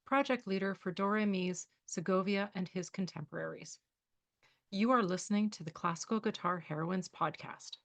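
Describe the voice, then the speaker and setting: A woman's voice: medium pitch, dry recording. A woman hosting a podcast, reading an introduction and then asking interview questions in an encouraging way. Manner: warm, encouraging, with short affirming replies. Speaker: podcast host.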